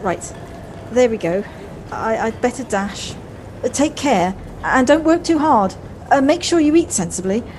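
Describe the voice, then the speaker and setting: A woman in an audio play voicing a brisk, fretful, well-spoken wife with a wry, slightly snobbish manner, recorded at home on a personal device. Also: on the high side, expressive, a little mellow, a little hiss or hum. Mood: fussing, affectionate.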